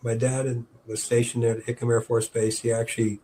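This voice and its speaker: A man's voice: mid-range voice, level tone, brisk, mellow. Chatty older man with faint hiss. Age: 70s. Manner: rambling, with many fillers and restarts. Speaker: park historian guest. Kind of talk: casual interview chat.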